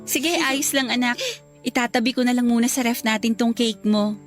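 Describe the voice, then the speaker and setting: A caring mother in a Filipino radio drama, played by a woman. Her voice sits fairly high and she speaks in a tender, motherly way, with light music underneath. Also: fairly even intonation, normal speed, natural voice. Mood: gentle, caring. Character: caring, gentle mother of a young girl.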